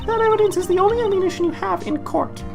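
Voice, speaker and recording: A woman's voice: very high-pitched, quick pace, creaky, music in the background, pitched above the performer's own voice. A woman voicing an earnest female lawyer in her twenties, a video game mentor who gives careful instruction. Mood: instructive.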